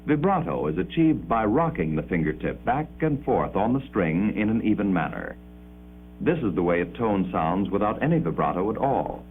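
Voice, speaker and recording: A man delivering formal, measured 1950s educational film narration with precise enunciation, on an old muffled soundtrack. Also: on the low side, big pitch swings, slight background noise.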